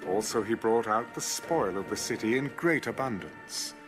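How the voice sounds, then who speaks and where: A man's voice: lowish voice, animated, normal speed, over music. A man giving a dramatic scripted narration of scripture for an audio Bible.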